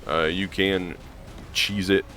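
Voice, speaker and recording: A hoarse man with a gravelly voice, rambling casually through gameplay commentary with lots of ums and restarts. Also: deep voice, fairly even intonation, slow pace, bright, forceful tone. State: hoarse from allergies. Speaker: gaming channel host.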